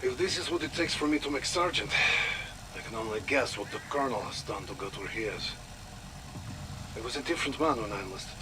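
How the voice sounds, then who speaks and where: Actor doing tired russian soldier in a game log, middle voice, grim and regretful, rumbly noise behind him. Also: male voice, expressive, natural voice. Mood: weary, regretful. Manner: sombre, weary, deliberate. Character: disillusioned, war-weary Russian male special forces soldier. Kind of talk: dramatic audio log acting. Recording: noisy audio log with engine-like rumble.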